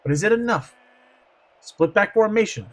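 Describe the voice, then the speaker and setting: A man doing play-by-play football commentary, going hoarse, who swings from quiet asides to shouted excitement. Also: sits high, moderate pace.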